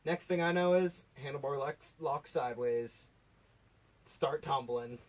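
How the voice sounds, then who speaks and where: A man's voice: medium pitch, a little slow. An eighteen-year-old American man co-hosting, telling stories in a casual, chatty style. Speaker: co-host. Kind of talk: casual storytelling chat. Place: basement room. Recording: voice somewhat distant from the mic.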